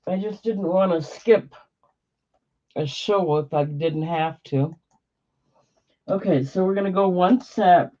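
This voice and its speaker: A hoarse woman running a live-stream auction, chatty and unhurried, pausing to cough. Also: fairly low pitch, mellow. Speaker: online auctioneer. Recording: dull lo-fi live-stream audio.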